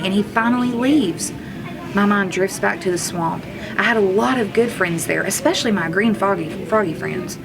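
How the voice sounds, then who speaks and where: Woman reading a storybook aloud, music behind. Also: medium pitch. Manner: expressive, acts out characters' lines. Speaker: kindergarten teacher reading aloud. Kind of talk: children's storybook read-aloud.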